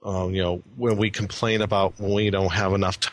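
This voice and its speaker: A man co-hosting a podcast, talking casually with sarcasm and halting fillers. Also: deep voice, level tone. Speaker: podcast co-host.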